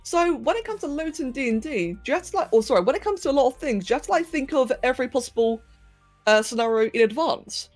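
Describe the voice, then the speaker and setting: A woman's voice: sits high, animated, fast, darkish tone, soft background music. Chatty woman streamer. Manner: chatty, greets viewers, laughs often, asides to her pets. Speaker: livestream host. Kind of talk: casual chat with live chat while sculpting.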